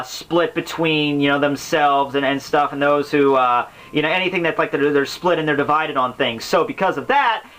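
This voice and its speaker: Bright-voiced man, casual rambling commentary. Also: a little high, low-quality recording. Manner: rambling, slangy, with exaggerated exasperation. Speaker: host of a book summary series. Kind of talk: casual unscripted explanatory commentary.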